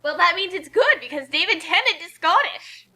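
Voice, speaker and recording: A woman's voice: very high voice, expressive, moderate pace, bright, forceful tone. A woman hosting casual, riffing commentary with friends over an online voice call. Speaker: commentary host.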